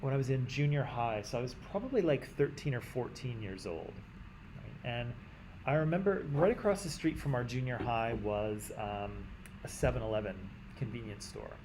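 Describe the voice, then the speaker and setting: A man's voice: medium pitch, lively intonation, normal speed, darkish tone, a little hiss or hum, rough recording. Canadian man teaching, lots of "right?". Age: adult. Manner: conversational, frequent "right?" checks with students; clears throat often. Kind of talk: classroom lecture.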